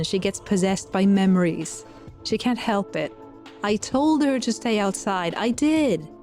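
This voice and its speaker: A woman's voice: mid-range voice, darkish tone, close to an everyday voice. A woman voicing a wily, eager male angel magician, earnest and pleading, in an audio drama. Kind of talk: audio drama character acting.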